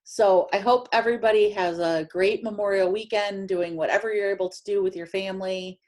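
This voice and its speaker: A woman reading a children's picture book aloud in an expressive, emphatic way, drawing out phrases for young listeners. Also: medium pitch. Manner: animated, emphatic storytelling with drawn-out phrases.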